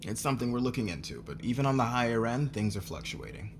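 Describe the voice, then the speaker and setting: A man's voice: medium pitch, big pitch swings, fast talker, a little hiss or hum. A man playing a curt, sarcastic, hot-tempered company boss in a fantasy ASMR roleplay. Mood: defensive, guarded.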